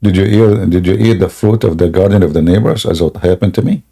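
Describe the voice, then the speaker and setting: Mocking low man with gravelly voice. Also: fast talker, mellow. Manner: mocking, laughs often, clears throat. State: sore throat. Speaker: host of a religious debate stream. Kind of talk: live call-in debate. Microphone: something in his mouth may touch the mic.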